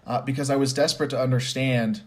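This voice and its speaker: A man's voice: medium pitch, steady tone, fast. A man giving conversational motivational commentary with personal stories, stressing points by repeating phrases. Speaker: host and commentator.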